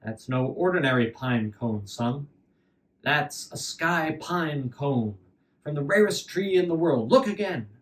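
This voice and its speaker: An old wandering fiddler from a fairy tale, as a male storyteller plays him, kindly but mysterious. He speaks slowly and with measured weight, like a keeper of riddles. The voice sits some distance from the microphone. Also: fairly high pitch, animated. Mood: persuasive, mysterious. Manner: measured, cryptic, addresses the boy as son.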